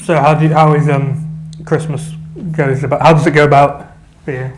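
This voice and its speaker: Higher-voiced chat-show host. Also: male voice, little pitch movement, brisk, soft background music, low-quality recording. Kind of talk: studio chat show hosting.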